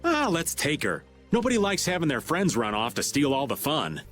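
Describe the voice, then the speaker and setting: A man's voice: on the high side, huge pitch swings, normal speed, a bit bright, soft background music. A man voicing an easygoing, joking rogue in a video game. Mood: breezy.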